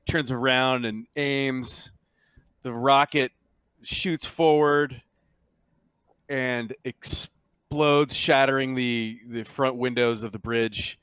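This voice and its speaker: A man's voice: mid-range voice, a bit bright, clean tone, no echo. Man game-mastering, dry close sound. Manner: conversational, halting, explanatory. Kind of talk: improvised narration of a tabletop game.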